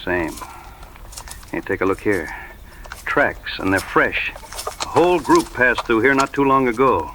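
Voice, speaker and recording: An actor playing a wary, worried frontier sidekick in an audio drama, blunt and folksy with a rural drawl. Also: male voice, mid-range voice, expressive, unhurried, long pauses, bright, forceful tone, slight background noise, rough recording. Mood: uneasy.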